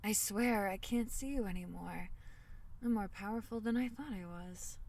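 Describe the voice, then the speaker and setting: A woman voicing a tiny, caring fairy in a soothing ASMR fantasy roleplay, speaking softly in slightly formal storybook phrases. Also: mid-range voice, far from the mic, a little hiss or hum, close to an everyday voice. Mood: amazed, urging. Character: tiny gentle female fairy, caring and protective.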